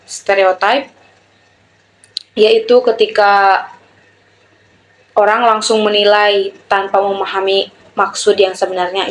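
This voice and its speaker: A woman's voice: medium pitch, slight background noise, rough recording. A young woman giving a prepared student presentation, telling and explaining an example. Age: young adult.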